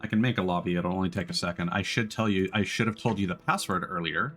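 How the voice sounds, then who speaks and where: A man's voice: sits low, fast talker, a bit bright, soft background music. A man doing casual live gameplay commentary as a streamer, hesitantly thinking aloud. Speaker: game streamer.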